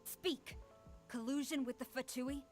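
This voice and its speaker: Strict military lady from a video game, formal, slightly high, bit of music under it. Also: steady tone, a little slow. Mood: stern, commanding. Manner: formal, authoritative. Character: stern, formal female military commander. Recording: music behind the voice.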